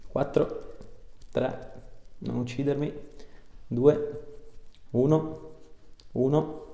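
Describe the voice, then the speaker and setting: A man's voice: medium pitch, steady tone, very slow, gravelly, dark tone. A man, a chiropractor giving casual, reassuring instructions to a patient in short phrases. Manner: informal, reassuring, short directions. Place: small indoor treatment room. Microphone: camera a short distance away. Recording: echoey room with background noise.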